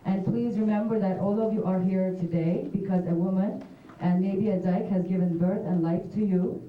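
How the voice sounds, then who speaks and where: A woman's voice: mid-range voice, fairly even intonation, moderate pace. A woman giving an earnest, direct address at a stage microphone in a theatre. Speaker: festival audience speaker. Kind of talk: impassioned public address. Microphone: stage microphone heard from a distance. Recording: distant, muffled echoey tape recording.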